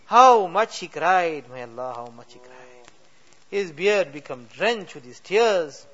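A man leading an emotional supplication as imam, pleading with rising cries that fall to soft endings. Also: high voice, sing-song, takes it slowly. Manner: mixes English with Urdu and Arabic words. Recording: dull lo-fi recording.

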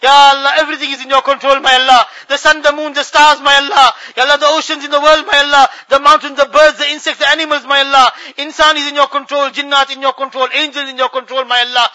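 A man's voice: extremely high pitch, little pitch movement, fast talker, few pauses, sharp tone. A man leading a fervent spoken prayer in a mosque, pleading with a repeated refrain, his voice breaking with emotion. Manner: pleading, ends each plea with a refrain. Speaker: imam leading supplication.

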